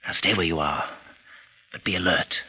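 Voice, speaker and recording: An actor playing a tense man recounting a night of terror in halting, dramatic phrases, in 1940s radio style. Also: deep voice, breathy edge. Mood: hushed urgency. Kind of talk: 1940s radio acting, first-person suspense narration. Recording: muffled vintage 1940s radio recording, music behind.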